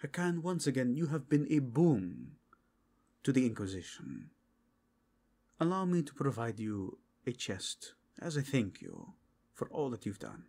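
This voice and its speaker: A man's voice: medium pitch, huge pitch swings, unhurried, gravelly, dark tone, husky, heavily acted. A man playing a stern, pious inquisitor, speaking formally and solemnly in an audio roleplay. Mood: formal, grateful.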